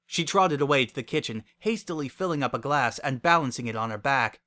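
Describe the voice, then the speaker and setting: A man's voice: mid-range voice, expressive, brisk, pushed and bright. A man reading scripted dramatic narration as a story narrator.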